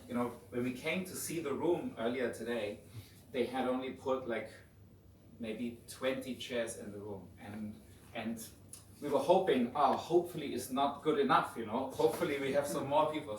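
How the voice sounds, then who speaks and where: A man with a German accent lecturing in an easygoing, informal way, often restarting his sentences. His voice sits at a middle pitch and sounds a little distant from the mic. The hotel meeting room adds a roomy echo over a faint hiss. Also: moderate pace. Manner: relaxed, informal, frequent restarts. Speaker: guest lecturer. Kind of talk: conversational lecture.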